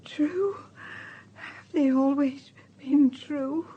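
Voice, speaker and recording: A troubled woman in a radio drama. Her high, soft-toned voice drags out each phrase very slowly, on a muffled recording. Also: slight background noise. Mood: troubled, haunted. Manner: slow, drawn-out.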